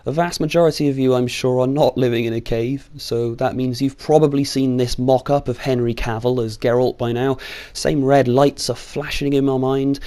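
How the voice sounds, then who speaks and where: A man's voice: medium pitch, steady tone, moderate pace, mellow. A British man hosting an online video, giving informal, opinionated commentary with a sardonic streak and some mild swearing. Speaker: video host. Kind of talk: scripted opinion commentary on video game films.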